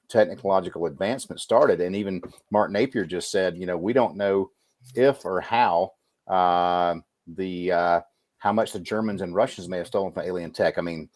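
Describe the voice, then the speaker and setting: A man's voice: low voice, no echo. A man talking casually as a podcast co-host in a panel discussion.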